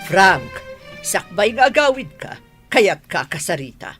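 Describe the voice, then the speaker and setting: A woman's voice: mid-range voice, big pitch swings, measured pace, music in the background. A woman acting a haughty, scornful madam of the house in a radio drama, scolding and suspicious, with an Ilocano Filipino accent. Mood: stern, suspicious.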